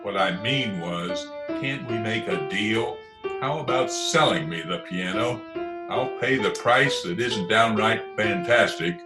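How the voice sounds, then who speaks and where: A man's voice: on the low side, expressive, unhurried, loud music behind the voice. A man playing a pompous, blustering, easily irritated neighbour in an old-time radio comedy. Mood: scheming. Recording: roomy, echoey internet call recording.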